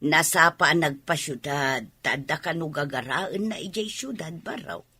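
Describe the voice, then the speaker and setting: Older village woman, Filipino accent, husky and expressive, close dry radio drama sound. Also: deep voice, normal speed, no room sound, exaggerated voice. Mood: concerned.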